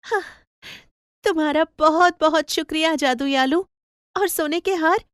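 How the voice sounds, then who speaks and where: A woman acts out a kind young village wife from a cartoon fable. Her high voice is excitable, full of gushing exclamations and pleas, in a dry close recording. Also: a little slow, no echo. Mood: grateful. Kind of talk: Hindi cartoon story acting.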